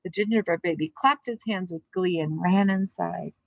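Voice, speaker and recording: A woman's voice: lowish voice, normal speed, creaky, no echo, dull audio. A woman speaking as a children's teacher, reading a storybook aloud and giving simple, encouraging instructions with check-in questions.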